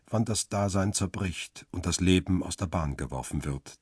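Weary paparazzo around fifty, reflective crime-drama narrator. Deep voice, deliberate delivery, very dry close studio sound. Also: male voice, slightly rough, no room sound. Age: middle-aged. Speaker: first-person narrator. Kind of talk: crime radio play narration.

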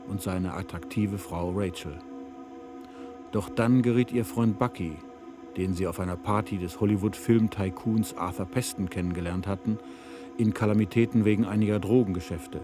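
Male announcer, low voice, scripted radio drama introduction, clear music behind. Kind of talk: scripted announcement and recap narration.

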